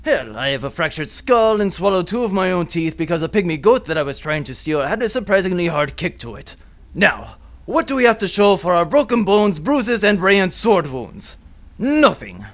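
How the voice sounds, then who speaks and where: A man narrating a comedy space-pirate audio drama in a grandiose, theatrical style. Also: high-pitched.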